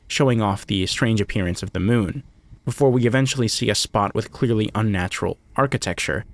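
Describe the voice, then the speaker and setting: A man delivering scripted, analytical video essay narration in a conversational way, with casual asides. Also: lowish voice. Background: music.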